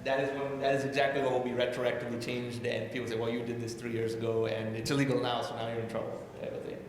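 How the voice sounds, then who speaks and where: A man on a panel, likely a banker, explaining rules in a measured way. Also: mid-range voice, little pitch movement. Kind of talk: panel discussion. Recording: very echoey hall, long reverb, faint noise.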